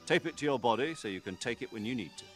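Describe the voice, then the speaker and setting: An actor playing a commanding middle-aged military officer giving a formal, instructive radio briefing in a video game. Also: male voice, mid-range voice, animated, soft background music, natural voice. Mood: stern, instructive. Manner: measured, authoritative, instructive. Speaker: mission commander.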